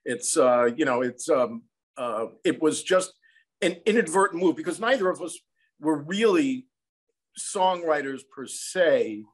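A man's voice: a little high, animated, measured pace. An American man in his sixties telling anecdotes in a reflective, conversational interview style over a video call. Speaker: songwriter and film composer, interview guest. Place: small room at home, indoors.

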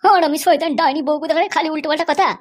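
A performer acts out a blustering husband and father in a comedy cartoon. He is short-tempered and scolding. His voice is oddly high for a man and races along at a very quick clip. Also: fairly even intonation, background noise, lightly acted. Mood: furious. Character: hot-tempered, foul-mouthed father and husband.

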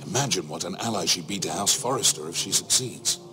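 An actor playing an earnest, proud middle-aged uncle of noble house in a fantasy game, solemn, sincere and measured. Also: male voice, deep voice, lively intonation, over music, rough recording. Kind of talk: dramatic fantasy game acting.

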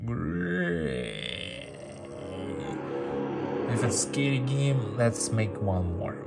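Male mobile game streamer, lively swinging pitch, unhurried delivery, non-native phrasing, casual and playful, music behind. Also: gravelly. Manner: repeats words and phrases, playful. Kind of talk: casual gameplay commentary.

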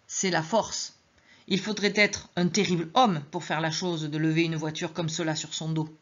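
A woman's voice: deep voice, steady tone, moderate pace. A woman voicing a cold, watchful police inspector who stresses each word, on a dull lo-fi recording. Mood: pointed.

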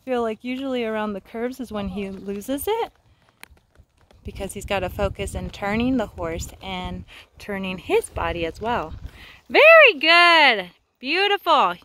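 A woman coaching a horse rider in an outdoor arena, upbeat and teasing, quick to praise. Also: medium pitch, very animated, pushed and bright. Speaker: riding instructor.

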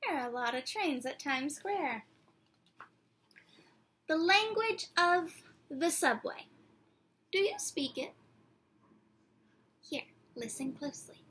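A woman in her twenties doing an expressive, theatrical picture-book read-aloud for children, drawing out words and putting questions to her young listeners. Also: high voice, takes it slowly, pauses often. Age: 20s. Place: small decorated room indoors.

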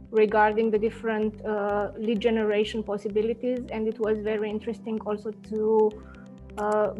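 A woman in her thirties, a marketing head being interviewed over a video call, speaking in a measured, hesitant way with frequent fillers. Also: medium pitch, a little mellow. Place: indoor home office. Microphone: wired earphone mic on video call.

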